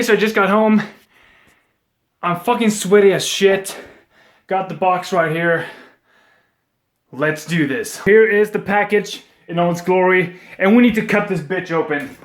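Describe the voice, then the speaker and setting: Excitable young Swedish man, a bit high. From Sweden. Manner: excitable, swears a lot, jokey. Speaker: gaming vlogger. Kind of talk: casual unboxing vlog. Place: at home indoors. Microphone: webcam.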